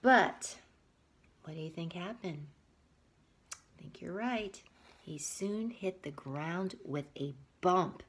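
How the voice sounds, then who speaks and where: A woman teacher reading a picture book aloud to young children, expressively, with breathy asides and questions to her listeners. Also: fairly low pitch, takes it slowly, long pauses.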